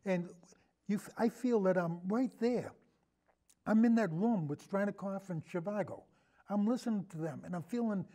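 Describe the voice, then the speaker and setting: A man's voice: high-pitched, dark tone. A man speaking as a podcast guest in a casual film discussion, rambling earnestly and repeating himself as he goes.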